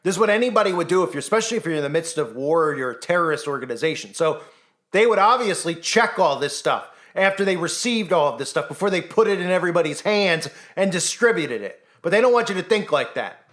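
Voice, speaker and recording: A man with a slightly high voice talks as an online commentator in a loose, informal monologue. He is insistent and rhetorical, checking in with the listener as he goes. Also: expressive, fast talker, a bit bright. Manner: insistent, rhetorical, often tags statements with "right?".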